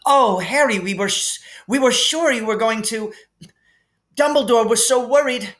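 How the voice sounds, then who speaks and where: A man's voice: extremely high pitch, moderate pace, a bit bright, voice pushed up. A man voicing an anxious, emphatic schoolgirl witch with an earnest manner. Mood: anxious, relieved.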